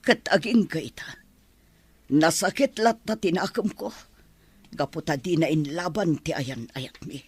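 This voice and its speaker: A woman's voice: sits low, measured pace, slightly rough. An actress playing a bitter, hurt middle-aged mother sternly forbidding a romance in an Ilocano audio drama.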